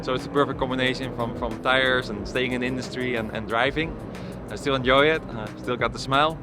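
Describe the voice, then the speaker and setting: A man's voice: mid-range voice, steady tone, music in the background. A man from the Netherlands with a Dutch accent, talking casually to camera as a racing coach, with lots of fillers and restarts. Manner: halting, many fillers and restarts. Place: outdoors at a race track.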